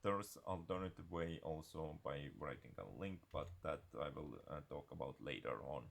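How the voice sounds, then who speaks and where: Low creaky-voiced guy walking thru a coding tutorial, kinda halting, stops and starts a lot. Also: animated. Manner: halting, hesitant delivery. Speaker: software tutorial presenter. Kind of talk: unscripted screencast tutorial.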